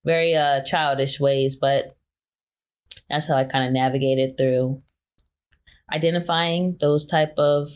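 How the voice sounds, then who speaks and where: A woman in her twenties, a guest talking candidly about her relationships in a hesitant, soft-spoken way with lots of filler words. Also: sits low, steady tone, measured pace, long pauses, clear, resonant tone. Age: 20s. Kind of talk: candid personal interview.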